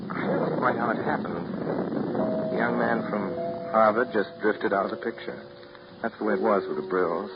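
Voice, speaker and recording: A man's voice: lowish voice, lightly acted. An actor playing a wry, reflective man who narrates and spars with his relatives, in 1930s radio drama style. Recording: noisy muffled 1939 radio broadcast.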